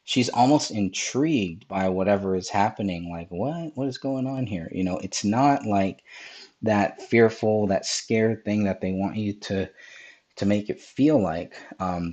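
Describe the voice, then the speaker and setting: Male host, slightly low voice, hoarse and raspy, loose rambling commentary delivery. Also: dark tone. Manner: rambling, many ums and restarts. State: hoarse from a cold. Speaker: podcast host. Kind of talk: live-stream commentary over video clips.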